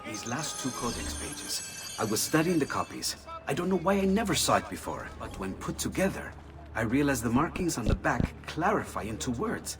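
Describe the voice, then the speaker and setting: Brief: male actor as an eager inventor, lively pitch, loud score behind. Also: normal speed, distant mic, over music, close to an everyday voice. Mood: excited. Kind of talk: video game cutscene acting.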